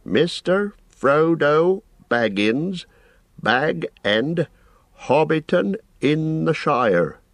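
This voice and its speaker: Flustered old innkeeper, as a male reader puts him on; high, lively, rambling, dry sound. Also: no echo. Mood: apologetic fretful. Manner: rambling, flustered, drawn-out phrasing. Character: fussy, forgetful, anxious old male innkeeper.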